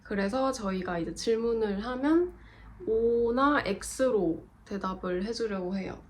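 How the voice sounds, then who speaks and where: A woman's voice: a little high, slow pace. A young Korean woman with a cold, co-hosting and chatting while she explains things casually. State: has a cold. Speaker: co-host of a couple channel. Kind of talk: casual chat.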